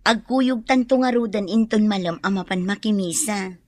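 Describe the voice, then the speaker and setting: A woman's voice: mid-range voice, moderate pace, dry recording, close to an everyday voice. A woman playing a nosy, friendly neighbour and confidante in an Ilocano radio drama, with an Ilocano accent. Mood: inquisitive.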